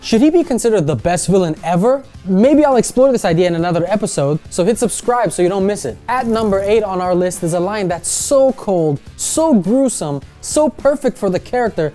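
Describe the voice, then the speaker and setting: A woman's voice: medium pitch, animated, brisk, few pauses, dark tone, soft background music. A woman presenting a scripted countdown list with chatty, opinionated pop-culture commentary.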